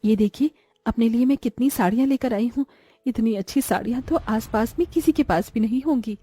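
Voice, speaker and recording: Woman playing a mellow-voiced greedy cartoon mother. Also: a little high, a little fast, husky, no echo. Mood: pleased, brisk. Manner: self-justifying, persuasive. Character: greedy, crafty young mother selling street food.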